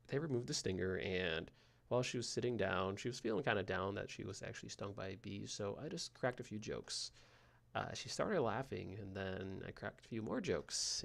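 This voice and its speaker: Casting: soothing male sleep-podcast host, low-pitched and gravelly, rambling softly with lots of fillers. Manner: soft, slow and meandering, with frequent fillers.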